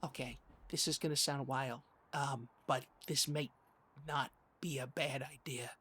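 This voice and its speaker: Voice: medium pitch, steady tone, unhurried, fairly bright tone, slightly rough. A performer playing an anxious, prim podcast co-host who stammers and hesitates through comedy banter. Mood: flustered, agitated.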